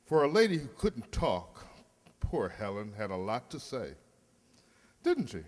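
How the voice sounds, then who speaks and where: Male announcer, arch and measured, lively intonation, reverberant hall with faint noise. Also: mid-range voice. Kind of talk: scripted radio play announcing, wry.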